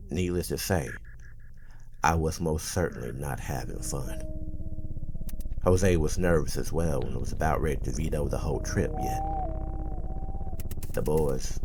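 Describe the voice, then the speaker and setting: A man playing an older small-town man, telling an eerie tale in a slow, folksy ASMR horror roleplay with deliberate pauses. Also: exaggerated voice. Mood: uneasy, grave. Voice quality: very deep, gravelly.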